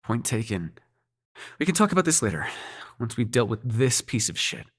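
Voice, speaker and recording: A man's voice: mid-range voice, big pitch swings, normal speed, slightly rough, no room sound, clean studio sound. A man playing a cocky, sarcastic demon prince with a tender side in an intimate audio roleplay, speaking casually with teasing and swearing. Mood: grim, scheming. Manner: casual, sweary, teasing, hesitant when sincere.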